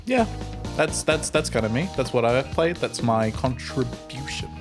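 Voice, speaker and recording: A man's voice: medium pitch, expressive, unhurried, music in the background. An Australian man with a slightly British-sounding accent, chatting casually in a joking, teasing way. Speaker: podcast cast member. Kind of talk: casual chat.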